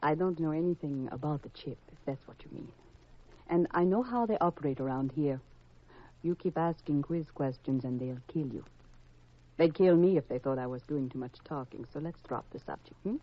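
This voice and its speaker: An actress playing a flirtatious, worldly and evasive femme fatale in a 1950s radio crime drama, coy and teasing, with deliberate hesitations. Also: female voice, deep voice. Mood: coaxing. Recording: dull vintage radio recording.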